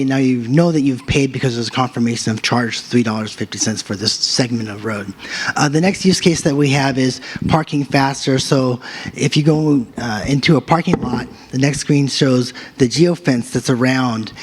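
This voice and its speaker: A man's voice: medium pitch, a little mellow, slightly rough. A man presenting his team's app at a hackathon, walking through it with many fillers and restarts. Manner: loose, filler-heavy walkthrough. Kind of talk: live product demo. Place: conference stage.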